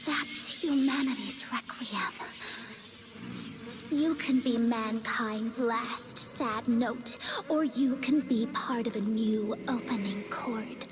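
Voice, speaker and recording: Menacing, slow-speaking vampire villainess. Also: female voice, fairly high pitch, music in the background. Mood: taunting, sinister. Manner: theatrical, taunting, deliberate. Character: menacing, haughty female vampire villain leader. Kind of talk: video game cutscene acting.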